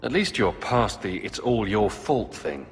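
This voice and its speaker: An actor playing a steady, dryly witty young hero in a fantasy video game, in a matter-of-fact way. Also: male voice, fairly low pitch, unhurried. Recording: long reverb, music behind. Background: music.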